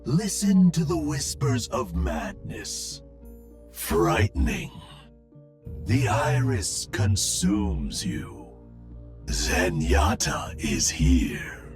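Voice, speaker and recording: Creepy robot cult monk, game character, very slow, wildly swooping pitch, ominous chanting. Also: male voice, huge pitch swings, over music, full character voice. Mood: ominous, menacing. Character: serene robot monk turned sinister cultist of madness.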